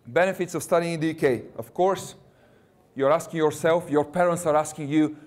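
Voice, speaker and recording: Man, 30s, Russian accent, non-native English, jokey recruiter pitching to students, slightly high voice. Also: brisk, a little mellow. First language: not English. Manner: jokey, chatty, quizzes the audience. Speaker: university recruiter. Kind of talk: presentation to students.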